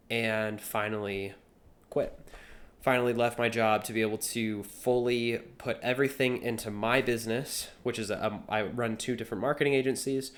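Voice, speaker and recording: A man of about twenty with a slightly low voice. He talks casually to camera like a vlogger, earnest and a bit rambling as he shares his thoughts. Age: 20 years old. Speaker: vlogger and young entrepreneur.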